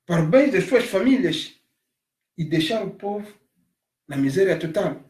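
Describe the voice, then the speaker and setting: A man's voice: high voice, lively intonation, normal speed. Emphatic man in an echoey room. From Africa. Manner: emphatic, repeats phrases, rising to loud stresses. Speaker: commentator on migration. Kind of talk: impassioned monologue.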